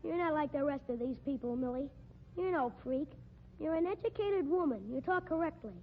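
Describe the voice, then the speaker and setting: Piping, high-voiced spiteful little circus major. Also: male voice, brisk. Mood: sly, needling. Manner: taunting, pompous, wordy. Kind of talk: 1950s radio drama acting. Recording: muffled, noisy old radio recording.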